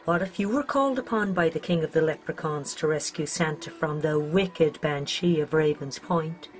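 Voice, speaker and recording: A woman's voice: low voice, moderate pace, low-quality recording. A woman with an Irish accent narrating a scripted children's storybook. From Ireland. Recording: music under the voice.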